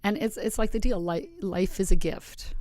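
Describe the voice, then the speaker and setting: A woman from Arizona co-hosting a podcast, chatting in a relaxed and reflective way. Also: mid-range voice, normal speed. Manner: relaxed and reflective, reads a quote aloud. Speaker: podcast co-host. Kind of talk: casual podcast chat.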